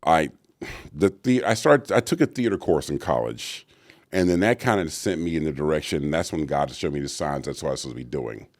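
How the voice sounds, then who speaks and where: A middle-aged man with a booming, very low voice tells stories as an interview guest. His pitch rises and falls a lot as he acts out what others said. The sound is dry and close. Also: fairly quick, dry recording. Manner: deep resonant voice, animated storytelling with acted-out quotes. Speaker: actor and voice actor guest. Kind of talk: storytelling interview answers.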